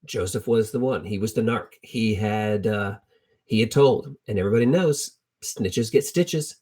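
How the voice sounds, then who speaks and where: A man's voice: on the low side, a little slow, warm tone. A middle-aged man teaching from the Bible in an informal, storytelling sermon style, conversational and colloquial, repeating phrases for emphasis. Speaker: preacher teaching a Bible lesson.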